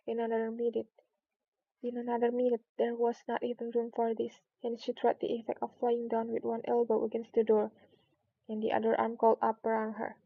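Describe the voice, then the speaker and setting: A woman's voice: fairly high pitch, monotone, brisk, creaky, narrow, tinny audio. A woman narrating a children's storybook, reading it aloud.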